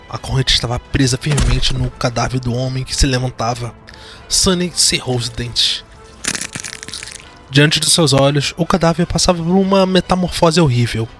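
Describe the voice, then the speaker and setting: A man's voice: medium pitch, a bit bright. A man with a Brazilian accent giving dramatic scripted narration for a horror fantasy audio drama. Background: music.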